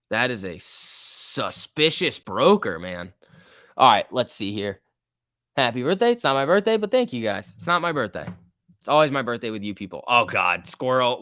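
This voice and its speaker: A man's voice: medium pitch, pushed and bright, clear, resonant tone, dry recording, clean studio sound. A man in his early twenties hosting a casual trading livestream, chatting informally and joking as he reads and answers viewer comments. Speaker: livestream host.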